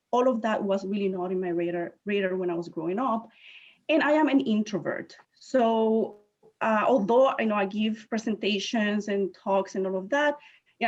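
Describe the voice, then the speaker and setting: A woman in her thirties from Puerto Rico, a native Spanish speaker with a Puerto Rican accent, giving a conversational talk as a guest speaker, often pausing on filler phrases. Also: medium pitch, darkish tone. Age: 30s. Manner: conversational, leans on filler phrases, self-correcting. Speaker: guest speaker, chemist and inventor. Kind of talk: virtual presentation talk. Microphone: video call. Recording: dull lo-fi call audio.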